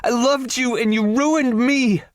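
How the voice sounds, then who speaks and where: A man's voice: very high-pitched, steady tone, a little slow, no room sound, clean studio sound, pitched above the performer's own voice. A man voicing a shrieking heartbroken woman. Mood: furious, anguished. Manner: shrieking, accusing. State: hoarse from screaming. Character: young woman, heartbroken, screaming in rage.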